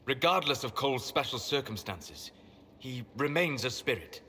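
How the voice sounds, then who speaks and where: Bright, echoing scholarly male mage. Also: mid-range voice, animated, normal speed. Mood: calm, explanatory. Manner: precise, formal. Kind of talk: video game voice acting. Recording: long reverberant hall, faint noise.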